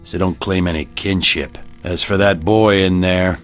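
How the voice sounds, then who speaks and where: Deep-voiced, stern Western sheriff. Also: male voice, fairly even intonation, unhurried, faint music behind. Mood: contemptuous. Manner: blunt, commanding, scornful. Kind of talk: old-time radio drama acting.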